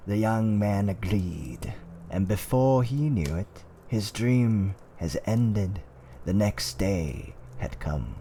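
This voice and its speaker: Low, slow, dark-voiced male storyteller. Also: slight background noise. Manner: theatrical, hushed, deliberate delivery. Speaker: storyteller in a roleplay audio. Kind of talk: scripted ASMR fireside storytelling, dark fantasy.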